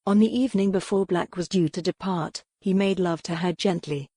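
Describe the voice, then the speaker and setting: Low-voiced woman narrating, very dry. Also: steady tone, moderate pace, dark tone, dry recording. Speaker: audiobook narrator. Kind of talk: scripted romance narration. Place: dry, close recording space.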